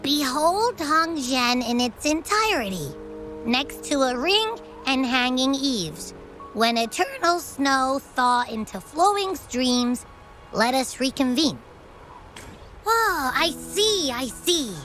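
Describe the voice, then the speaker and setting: An actress voicing a cheeky, curious little companion in a video game, playful and expressive as she thinks aloud. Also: high-pitched, takes it slowly, faint music behind, exaggerated voice, rough recording. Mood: reciting. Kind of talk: video game character dialogue.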